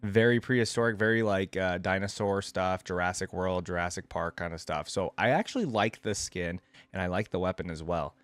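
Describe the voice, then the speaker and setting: A man's voice: low-pitched, a little fast, fairly bright tone, no echo. A man giving casual, informal reaction commentary as the host of a gaming channel, thinking aloud as he goes.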